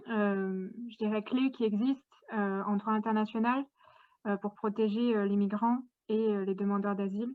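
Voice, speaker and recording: A woman interviewer asking questions hesitantly, with many pauses and fillers, over a video call. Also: mid-range voice, no room sound. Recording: dry tinny call audio.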